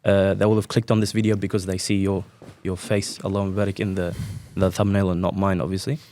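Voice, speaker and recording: A man's voice: low-pitched, little pitch movement, brisk, dark tone, a little hiss or hum. A man in his twenties, a podcast host who introduces his guest and asks questions in a polite, deferential way, with many fillers. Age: 20s. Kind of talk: interview questions.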